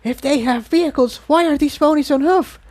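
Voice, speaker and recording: A man voicing a wary, worrying female pony in a storybook, in a hushed, grumbling, hissing manner. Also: extremely high pitch, fairly quick, voice pushed up.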